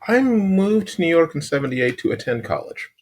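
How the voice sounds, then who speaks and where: A man in his sixties from Chicago with a Chicago accent, a podcast guest telling his story in an unhurried way and often pausing to find words. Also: fairly high pitch, very animated, a little hiss or hum. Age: 60s. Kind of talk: relaxed interview storytelling.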